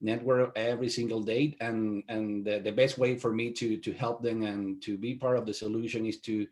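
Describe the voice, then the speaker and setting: A middle-aged man from Venezuela with a Spanish accent, a guest CEO answering interview questions in a halting way full of fillers and restarts. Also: lowish voice, fairly even intonation, a little mellow.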